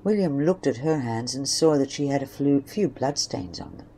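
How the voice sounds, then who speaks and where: A woman's voice: very deep voice, expressive, moderate pace, dark tone, a little hiss or hum. A woman reading scripted narration for an audio drama story.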